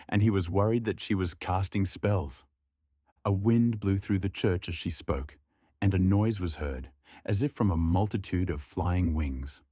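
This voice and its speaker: A man's voice: deep voice, dark tone, breathy edge, no echo, studio quality. A man reading a scripted folk horror story as a narrator.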